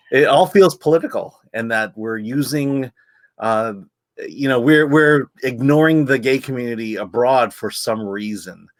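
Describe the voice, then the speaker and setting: A man's voice: mid-range voice, a bit bright, dry recording. A man, editor of a film site, hosting a casual review chat with many ums and repeated words. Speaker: film magazine editor and host.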